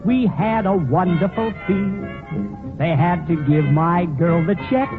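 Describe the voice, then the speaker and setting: A man's voice: sits high, slow. A man singing a comic song in old radio comedy style. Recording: tinny old radio recording, loud music. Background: loud plucked string music.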